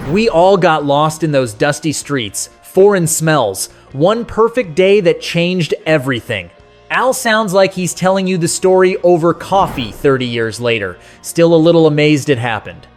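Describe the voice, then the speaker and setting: A man with a slightly high voice hosts a scripted countdown. He tells it like a colloquial, nostalgic storyteller. Faint music plays behind his narration. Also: animated, a little slow. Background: soft rock music behind the voice.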